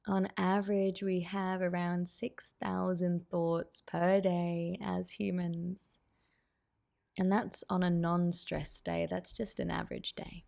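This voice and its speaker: Slow, creaky-voiced woman guiding meditation. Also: on the low side, little pitch movement, darkish tone. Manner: soothing, unhurried instruction with pauses. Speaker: meditation teacher. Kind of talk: guided meditation.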